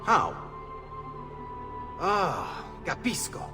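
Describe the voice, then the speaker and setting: An actor playing a shrewd, commanding Venetian thief leader with an Italian accent in a video game. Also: male voice, high-pitched, sing-song, very slow, full character voice. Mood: urgent, commanding. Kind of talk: video game cutscene acting. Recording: loud music behind.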